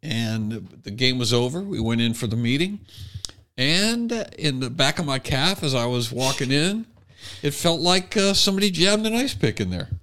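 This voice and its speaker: A man's voice: medium pitch, big pitch swings, normal speed, fairly bright tone. A middle-aged American man hosting a podcast, chatting casually with self-deprecating, jokey storytelling. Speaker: podcast host, stand-up comic. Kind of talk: casual humorous chat.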